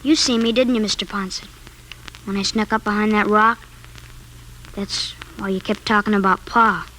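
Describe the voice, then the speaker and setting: A performer playing an earnest, troubled young frontier boy with an American accent and halting speech in a 1950s radio Western. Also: mid-range voice, fairly even intonation, pushed and bright, lightly acted, low-quality recording. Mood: quiet, earnest. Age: child or youth. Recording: old radio broadcast, faint noise.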